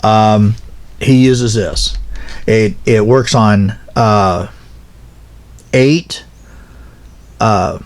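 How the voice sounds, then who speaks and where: A plain-spoken man explaining equipment informally as an instructor, in a halting, practical way. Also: fairly low pitch. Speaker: instructor, pilot car operator.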